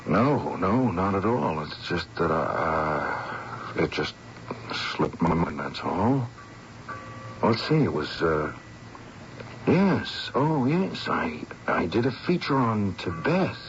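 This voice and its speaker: A man's voice: low-pitched, big pitch swings, slow pace, full character voice. An actor playing a haunted, weakened man, anxious and broken, with a hesitant, faltering delivery in a radio drama. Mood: faltering, defeated. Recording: noisy, muffled old radio recording.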